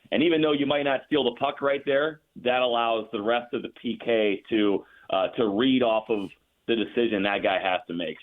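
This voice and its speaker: A man calling in to a sports talk show, breaking down hockey in a thoughtful way and repeating words as he works through his points. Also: fairly low pitch. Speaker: radio play-by-play announcer as guest. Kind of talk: casual sports talk, analytical. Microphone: phone call. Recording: tinny phone line.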